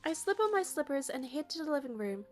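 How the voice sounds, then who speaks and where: A woman reading a game's story aloud as narration in an expressive way. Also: high voice, quick pace. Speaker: player narrating a game playthrough. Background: music.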